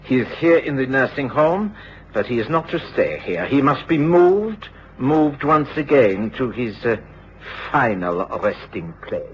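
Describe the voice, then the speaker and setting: An actor playing a cultured, menacing foreign villain, deliberate and halting, in a period radio drama. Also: male voice, mid-range voice, expressive, natural voice. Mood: coldly menacing. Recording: dull, noisy radio drama recording.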